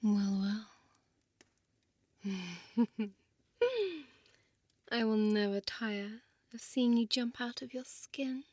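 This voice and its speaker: A woman's voice: mid-range voice, fairly even intonation, drawn-out words, pauses often, darkish tone, dry recording. A woman playing a seductive, menacing female vampire in an intimate audio roleplay, toying with her prey in a teasing half-whisper and archaic wording. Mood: amused, taunting.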